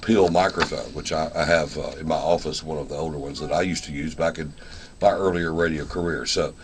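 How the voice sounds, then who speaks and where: A mature man with a deep, low-set voice. He hosts a live radio interview in a casual, folksy style, rambling a little as he goes. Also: fairly even intonation, fairly quick. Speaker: radio show host.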